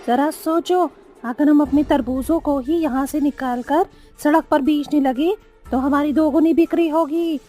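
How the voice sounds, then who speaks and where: A woman's voice: high voice, steady tone, unhurried, warm tone, soft background music. An actress playing a sly, demanding, bloodthirsty witch wife in a Hindi horror cartoon, with theatrical delivery. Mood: persuasive.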